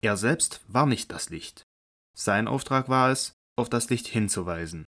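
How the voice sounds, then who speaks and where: Deep-voiced male scripture narrator. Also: expressive, a bit bright. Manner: measured, solemn reading.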